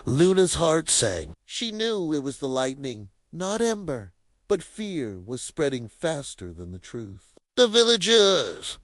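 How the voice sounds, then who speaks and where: A woman narrating a children's cartoon story in an expressive, dramatic manner. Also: extremely low pitch, no echo.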